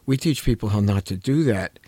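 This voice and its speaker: A man's voice: fairly low pitch, brisk, mellow, slightly rough, no room sound. An older man, a clinician, talking reflectively and earnestly, searching for his words and leaning on key ones. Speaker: clinician and programme host. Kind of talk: reflective conversational talk on caregiving.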